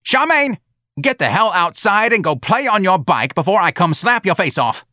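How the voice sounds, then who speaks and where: Casting: blunt old New grandma played by a male narrator, croaky, pitched up, swinging delivery, very dry studio sound. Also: big pitch swings, moderate pace, sharp tone, no echo. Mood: angry scolding. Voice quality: froggy, croaking. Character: Santa, brash, blunt old Southern grandmother. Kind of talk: audiobook character dialogue.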